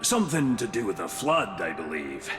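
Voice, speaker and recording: Dry joking dwarf smith, voice goes all over the place, music under it. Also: male voice, fairly low pitch, big pitch swings, normal speed, reverberant, big-room sound. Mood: wry. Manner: dry, wry humor. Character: gruff dwarf smith living alone, wry. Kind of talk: video game cutscene voice acting. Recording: game audio with music behind.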